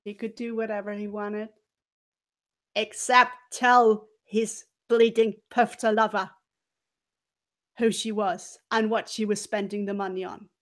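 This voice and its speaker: Slow, theatrical woman storyteller. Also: mid-range voice, level tone. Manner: theatrical, deliberate, with dramatic pauses and swells. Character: scheming, money-loving former cabaret dancer, haughty and venomous. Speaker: player in a murder mystery role-playing game. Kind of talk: in-character dramatic storytelling in the third person.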